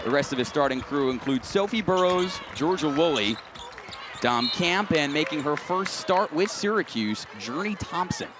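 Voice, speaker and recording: A man's voice: a little high, expressive, normal speed, background noise. A man calling live sports play-by-play in an announcer's delivery that rises on big plays.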